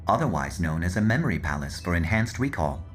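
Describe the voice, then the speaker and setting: An actor playing a formal male military officer who explains a briefing in an audio drama, in a crisp and explanatory way. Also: sits low, very animated, fairly quick, faint music behind.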